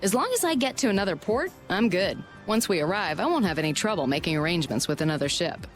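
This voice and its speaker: A woman playing a shrewd, confident merchant guild president in a video game, brisk and polished in delivery. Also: lowish voice, sing-song, soft background music. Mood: persuasive, confident. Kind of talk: video game dub acting.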